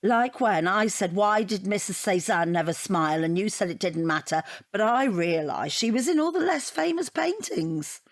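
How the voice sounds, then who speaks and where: Rambling, self-deprecating divorced woman in audio drama, played by an actress; earnest, easily flustered, mid-pitched, dry sound. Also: animated, normal speed, hardly any pauses, no room sound, close to an everyday voice. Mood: chatty, defensive. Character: chatty, self-deprecating divorced woman taking an art appreciation class. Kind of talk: naturalistic audio drama dialogue.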